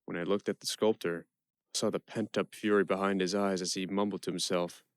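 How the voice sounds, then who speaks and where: A man playing a quick-witted prisoner who narrates his own tale in a first-person audio play. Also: low voice, level tone, smooth, no echo, clean studio sound, natural voice. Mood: reflective.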